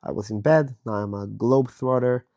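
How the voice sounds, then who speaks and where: A man in his thirties from Israel, hoarse and raspy from a virus, reflecting slowly and candidly from his bed as a podcast host. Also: mid-range voice, dark tone. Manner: slow, thoughtful, candid, with many pauses. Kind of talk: personal reflective monologue. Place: bedroom, recording from bed.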